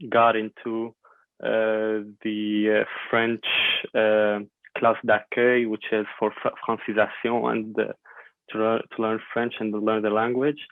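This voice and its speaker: Syrian man on a tinny line, flat-voiced. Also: on the low side, no echo. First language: Arabic. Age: 20s. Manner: thoughtful, halting, many fillers and repeats. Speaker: guest. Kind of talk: podcast interview. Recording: dry tinny phone-like line.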